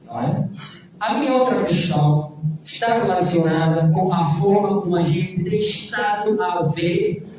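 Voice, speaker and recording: A man's voice: high-pitched, noisy background. Emphatic man in echoey room. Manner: emphatic, rhetorical, frequent "não é?" tags. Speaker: civic activist. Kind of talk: debate panel argument. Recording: echoey room, distant mic.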